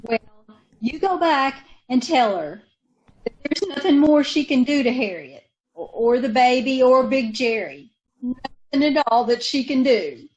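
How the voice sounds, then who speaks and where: A woman's voice: high-pitched, animated, measured pace, darkish tone, highs cut off. An actress playing a bitter, resentful poor woman shielding her sister, in an old-time radio drama, with folksy ungrammatical speech. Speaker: radio actor.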